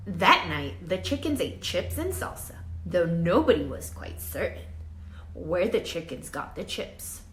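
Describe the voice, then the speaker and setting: A woman reading a children's picture book aloud expressively, with dramatic emphasis and hushed asides, pausing to question young listeners like a teacher. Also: lowish voice, sing-song. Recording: roomy echo with faint background noise.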